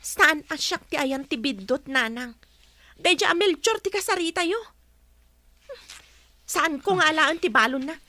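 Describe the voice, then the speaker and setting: A young big sister nursing a grudge. The voice is extremely high, bright and dry, with an Ilocano accent, indignant and nagging in village radio-drama style. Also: female voice, lively intonation, measured pace, long pauses, no echo, exaggerated voice. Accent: Ilocano, northern Philippines. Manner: complaining, sharp-tongued.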